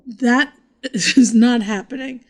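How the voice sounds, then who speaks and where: A middle-aged woman co-hosts a casual podcast with a dry, self-mocking wit. Her voice is high for a woman, and she keeps things wry and unhurried while laughing easily. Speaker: podcast co-host. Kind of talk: casual comedic chat.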